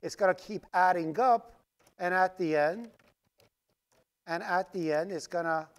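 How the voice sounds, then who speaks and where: A man's voice: sits high, measured pace. A man whose first language is Farsi, teaching a programming class while typing code on screen and checking in with "right?" as he explains. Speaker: programming instructor. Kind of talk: live coding lecture. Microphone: screen recording with voice-over mic.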